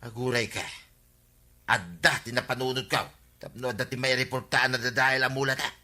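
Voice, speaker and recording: A man playing a simple, eager comic villager in an Ilocano radio comedy drama, with an Ilocano accent and an excitable, exclaiming delivery. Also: medium pitch, moderate pace. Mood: eager, scheming.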